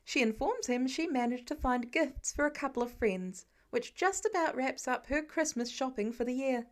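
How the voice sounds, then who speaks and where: A woman's voice: high voice, moderate pace. A woman reading fiction aloud as an amateur audiobook narrator, in scripted storytelling style.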